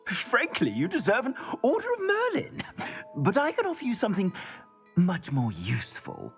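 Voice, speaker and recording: A man's voice: high voice, huge pitch swings, normal speed, faint music behind, exaggerated voice. A man playing a grateful, florid and courteous clothier in a video game. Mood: grateful, flourishing. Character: grateful, theatrical male shopkeeper and clothier. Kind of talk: video game character acting.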